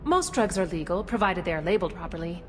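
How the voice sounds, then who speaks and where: A woman voicing a courteous female alien city guide in a video game, speaking with polite, formal and measured official courtesy. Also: lowish voice, lively intonation, natural voice. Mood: courteous, informative. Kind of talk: scripted video game dialogue. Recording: long reverberant hall, some background noise.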